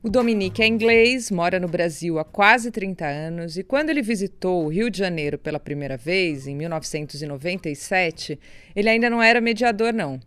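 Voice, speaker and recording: A woman from Brazil hosting a podcast, reading a clear scripted introduction and then asking her guest questions, hesitating a little as she phrases them. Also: on the low side, expressive, fairly quick, fairly bright tone, dry recording, soft background music. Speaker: podcast host and interviewer.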